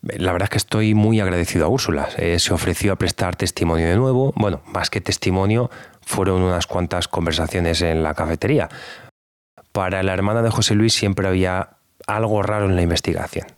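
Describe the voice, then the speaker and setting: A man's voice: sits low, fairly quick, dry recording, clean studio sound. A man playing a civil guard who recounts an obsessive cold case conversationally and reflectively, as in a documentary interview. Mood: candid, musing. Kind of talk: documentary-style interview testimony.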